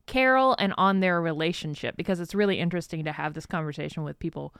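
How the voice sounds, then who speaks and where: A woman's voice: on the low side, quick pace, fairly bright tone, clean tone, no echo, clean studio sound. A woman co-hosting a podcast in casual conversation. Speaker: podcast co-host. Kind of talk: casual chat.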